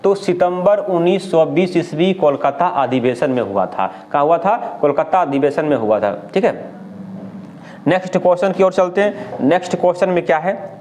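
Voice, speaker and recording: A man with a Bihari accent teaching an exam lesson, reading out questions and answers didactically and repeating himself with quick check questions. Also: fairly high pitch, darkish tone, a little hiss or hum. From India. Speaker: history teacher.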